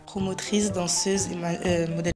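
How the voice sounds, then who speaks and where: A nineteen-year-old woman answers in a low, flat, low-key voice. Music plays loudly behind her. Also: moderate pace. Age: teens. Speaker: contestant.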